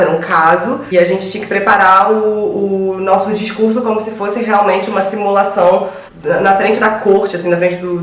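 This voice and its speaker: Brazilian woman, thirties, halting storyteller, very echoey room, set back from the mic. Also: mid-range voice, far from the mic. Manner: halting, repeats words while searching for phrasing. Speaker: guest. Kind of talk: casual storytelling among friends.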